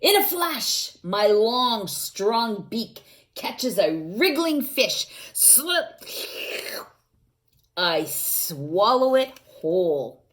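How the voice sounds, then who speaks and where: A patient great blue heron, as a woman reads it aloud keeps watch in a slow, measured storybook voice. Sudden louder bursts punctuate the hunt. Her pitch rises and falls with animation. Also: very animated, full character voice. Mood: watchful.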